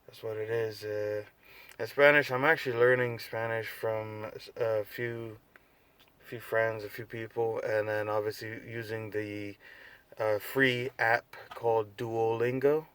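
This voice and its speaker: Tired, rambling young man, low flat voice. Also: a little slow, clear, resonant tone. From United States. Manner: laid-back, rambling, many fillers and restarts. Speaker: vlogger. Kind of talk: unscripted casual vlog.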